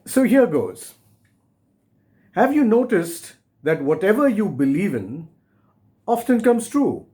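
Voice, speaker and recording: Male author reading his book aloud. Slightly high-set voice, very unhurried, deliberate phrasing, addresses the listener directly. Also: big pitch swings, warm tone. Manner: deliberate, short phrases with pauses, rhetorical questions.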